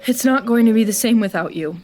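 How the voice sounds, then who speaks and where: A woman's voice: on the high side, brisk, darkish tone, faint music behind, natural voice. Actress as plaintive, loving young woman. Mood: hurt, sad. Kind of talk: naturalistic audio drama acting.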